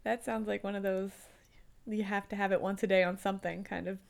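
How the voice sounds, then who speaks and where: A woman co-hosting a relaxed, informal conversation, speaking in an everyday chatty style. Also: mid-range voice, a little fast, vocal fry. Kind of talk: casual chat.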